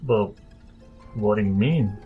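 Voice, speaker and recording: A man's voice: lowish voice, slow. A man playing a tabletop role-playing game, speaking improvised lines in character. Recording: slightly dull sound with music behind. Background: music.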